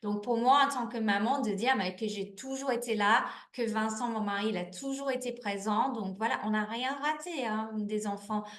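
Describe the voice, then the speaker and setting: A woman's voice: on the high side, normal speed, hardly any pauses. A woman in her thirties answering interview questions in a chatty, candid way, with lots of restarts and fillers. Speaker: network marketing manager, guest. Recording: echoey room.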